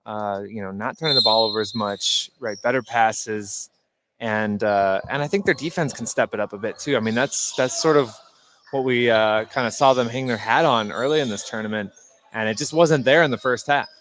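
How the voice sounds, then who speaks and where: A man giving conversational basketball analysis as a color commentator, informal and often pausing to find his words. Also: lowish voice, a bit bright. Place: indoor basketball gym, courtside. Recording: live gym broadcast, faint background noise.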